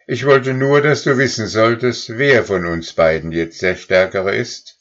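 A man's voice: on the low side, a little slow, fairly bright tone, highs cut off, voice pushed up. A man reading aloud, voicing a sly female house cat who gloats in a storybook. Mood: gloating. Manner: smug, gloating.

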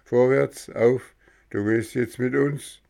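A man's voice: mid-range voice, a little slow, vocal fry. A man doing barking stern gendarmes. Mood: stern commanding. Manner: barking, commanding. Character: stern gruff male gendarmes.

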